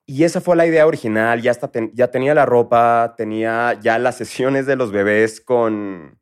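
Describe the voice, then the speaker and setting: A man in his thirties from Mexico with a Mexico City accent, a guest in a casual interview, talking in a relaxed, rambling way with many fillers. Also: mid-range voice, normal speed, clear, resonant tone. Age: 30s. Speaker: guest entrepreneur.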